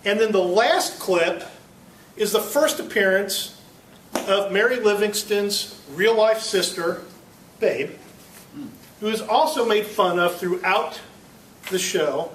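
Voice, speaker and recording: An American man presents informally to a club meeting in a high voice. He is recorded from a distance in an echoey room with faint noise. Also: slow pace, long pauses, fairly bright tone.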